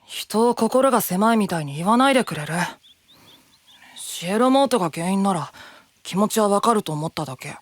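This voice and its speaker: A man playing a guarded, sharp-tongued young knight in an anime-style voice drama, speaking bluntly. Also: high voice, expressive, normal speed, dry recording. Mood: cool, guarded. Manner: blunt, accusing. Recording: music under the voice.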